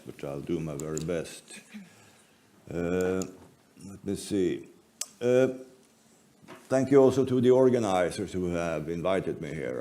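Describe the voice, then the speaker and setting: Slow, low-voiced older Swedish man. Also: dark tone. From northern Sweden. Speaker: conference speaker. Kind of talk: conference talk.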